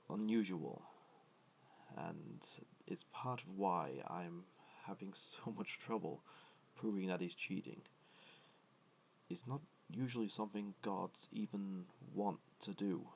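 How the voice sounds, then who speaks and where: A man acts out an ancient, kindly god in a comfort roleplay. The voice is very low and gravelly, kept soft and tender, with modest, careful phrasing. A faint wash of noise sits behind it. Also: unhurried. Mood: wistful, candid. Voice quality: low, soft, creaky, breathy at times.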